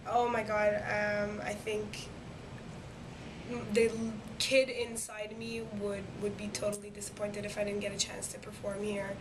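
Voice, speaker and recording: A woman's voice: mid-range voice, level tone, measured pace. A young woman from Montreal whose first language is French, answering interview questions eagerly, with plenty of fillers and restarts. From Montreal, Quebec. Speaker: singing competition winner. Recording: noticeable background noise.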